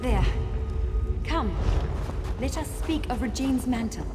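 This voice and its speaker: An actress playing a long-imprisoned, lonely female spirit in a fantasy game, speaking formally and with measured, archaic dignity. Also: a little high, expressive. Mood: earnest, urgent. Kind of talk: dramatic fantasy game voice acting. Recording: music behind the voice. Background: game music.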